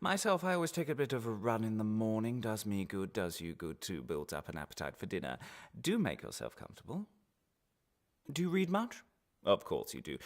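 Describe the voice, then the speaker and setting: An actor playing a falsely friendly, menacing interrogator in a radio drama, chatty and polite but insinuating and condescending. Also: male voice, fairly low pitch, vocal fry, exaggerated voice. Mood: falsely jovial.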